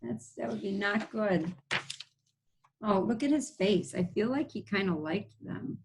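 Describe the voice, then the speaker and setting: A woman's voice: medium pitch, animated, a little slow, vocal fry, noisy background. A woman hosting a children's read-aloud, telling the story expressively with casual asides and simple explanations. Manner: explains words as she reads.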